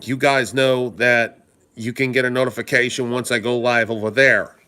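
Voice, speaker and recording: Hoarse, stuffed-up man live-streaming. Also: mid-range voice, level tone, normal speed, bright, forceful tone. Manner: rambling, repeats himself, greets viewers by name. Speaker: livestream host. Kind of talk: casual live-stream commentary.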